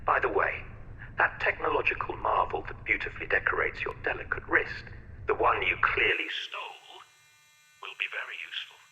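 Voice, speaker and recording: A man playing an eccentric, sinister chief surgeon in a video game, speaking with florid, mocking courtesy in a breathy half-whisper. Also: fairly low pitch, animated, moderate pace, pushed and bright, slight background noise, full character voice. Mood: mocking, condescending. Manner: theatrical, florid, mocking politeness. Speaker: game voice actor. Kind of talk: video game cutscene acting. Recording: echoey intercom in a reverberant hall.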